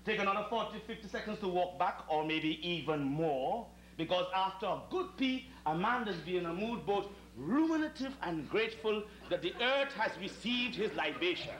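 A middle-aged actor with a Trinidadian accent playing a deferential yet sly, verbose hotel servant in a stage comedy, theatrical and mock-solemn. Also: male voice, high voice, animated, moderate pace, hardly any pauses, a bit bright. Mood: mock-solemn, indignant. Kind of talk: live stage comedy acting. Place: theatre stage before an audience. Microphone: distant camera microphone. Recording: roomy, noisy old camcorder tape of stage play.

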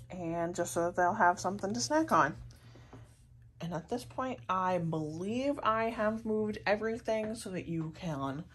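A young American woman narrating a casual vlog in a chatty, rambling way. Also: lowish voice. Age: young adult. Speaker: vlogger. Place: indoors at home, kitchen table. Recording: clear background noise, handheld home recording.

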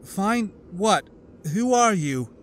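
A man reading an audiobook, voicing a wary young woman who speaks quickly with desperate urgency. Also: high voice, lively intonation, slight background noise, voice pushed up.

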